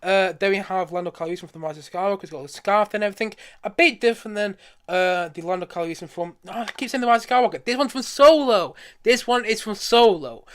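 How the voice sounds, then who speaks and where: Casting: male live streamer, high-pitched voice, quick delivery, enthusiastic and rambling, casual. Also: fairly bright tone, clean tone. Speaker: streamer showing a collection.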